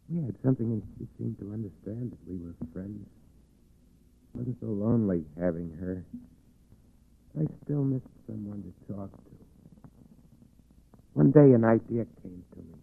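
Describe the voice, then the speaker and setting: A man's voice: fairly low pitch, creaky. An actor playing a lonely, eerie reclusive weaver who confides his brooding story in a 1940s radio drama. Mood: eerie wonder. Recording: muffled, tinny 1940s radio recording.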